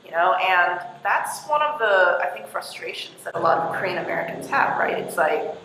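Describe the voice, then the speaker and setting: A woman's voice: lowish voice, expressive, moderate pace, bright, forceful tone, a little hiss or hum. A woman interviewing a guest in a casual chat, filling her talk with likes and you knows. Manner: chatty, filler-heavy with like and you know. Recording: echoey hall with faint ambience.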